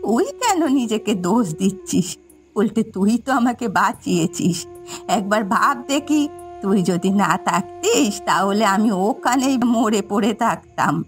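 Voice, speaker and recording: A woman's voice: medium pitch, expressive, moderate pace, faint music behind, natural voice. Woman playing a plaintive old village granny. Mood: grateful, soothing. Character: frail, pleading, grateful old village granny. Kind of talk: amateur cartoon dubbing.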